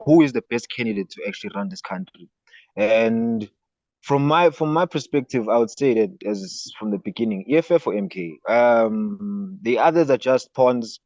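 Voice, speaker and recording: A man's voice: mid-range voice, moderate pace, a little mellow, no echo. Hesitant man on a muffled line. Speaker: guest. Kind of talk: political discussion.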